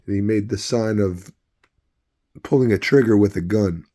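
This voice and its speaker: Man, low pitch, mellow dark tone, voice going hoarse, rambling casual storytelling, halting. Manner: rambling, halting, many fillers and restarts. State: losing his voice. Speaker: video host. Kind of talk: casual personal storytelling to camera.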